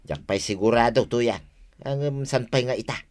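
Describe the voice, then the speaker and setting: A man's voice: mid-range voice, normal speed, darkish tone, natural voice. Easygoing male farm hand man actor, radio comedy: medium register, agreeable, plain conversational delivery. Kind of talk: comedy radio drama acting.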